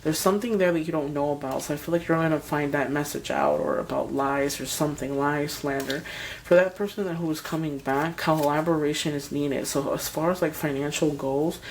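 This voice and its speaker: A woman with a scratchy, hoarse voice giving a tarot reading, talking straight to her viewers in a hesitant, rambling way. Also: sits low, steady tone, brisk. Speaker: tarot reader.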